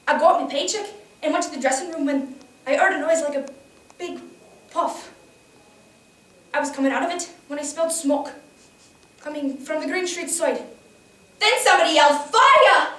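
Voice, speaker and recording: A woman plays a young immigrant factory girl in a stage monologue about surviving a fire. Her high voice is intense, frightened and halting. It sounds distant in an echoey room. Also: close to an everyday voice. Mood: tense recounting. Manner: intense, dramatic, halting storytelling.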